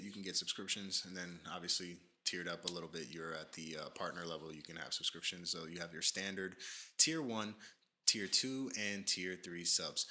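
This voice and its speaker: A man's voice: low-pitched, bright, forceful tone. A man streaming and talking casually, a bit nasal from allergies, rambling with many fillers while he reads through notes. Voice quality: slightly nasal. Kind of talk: casual livestream commentary, reading from a page.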